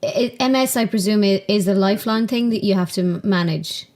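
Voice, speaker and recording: A woman podcast host interviewing in a casual, tentative way, softening her questions. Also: mid-range voice, brisk.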